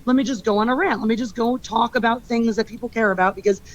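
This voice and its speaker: A woman streamer and stand-up comic ranting in a rambling, petty and animated way. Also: fairly high pitch, fast talker. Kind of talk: clip of a livestream rant. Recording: muffled clip with faint noise.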